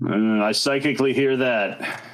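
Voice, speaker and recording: A man's voice: medium pitch, measured pace, low-quality recording. A man playing a tabletop role-playing game, chatting in a casual, joking style.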